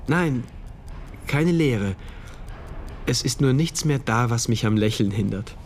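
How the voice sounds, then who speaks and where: A male actor playing an introspective, detached diarist, narrating a radio drama in terse, measured phrases. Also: medium pitch, animated, a little mellow, slight background noise.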